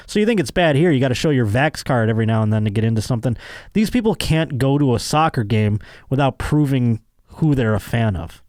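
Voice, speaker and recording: A man's voice: medium pitch, a little mellow, no room sound, studio quality. Sardonic American man riffing on news. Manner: sardonic, profane. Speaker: podcast host. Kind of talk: casual comedic news commentary, reading aloud and riffing.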